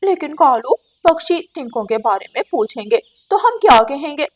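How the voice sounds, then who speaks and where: A woman's voice: high voice, animated, normal speed. A woman voicing a doting, conniving female crow wife in a cartoon, with a fawning manner. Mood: worried. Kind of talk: cartoon character acting.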